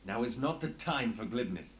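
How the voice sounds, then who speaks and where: An actor playing an authoritative, guarded inspector in a video game, speaking in a formal, measured and commanding manner. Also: male voice, medium pitch, expressive, a little hiss or hum, natural voice. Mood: stern. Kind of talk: scripted video game acting.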